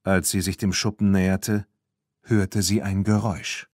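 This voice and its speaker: A man narrates a children's audiobook. His voice is low and husky, recorded dry and close. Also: flat delivery, unhurried, darkish tone, no room sound.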